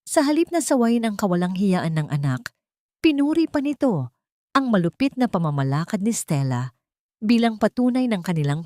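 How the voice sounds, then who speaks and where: Low-pitched woman narrating a dramatic story. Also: darkish tone, no room sound, clean studio sound.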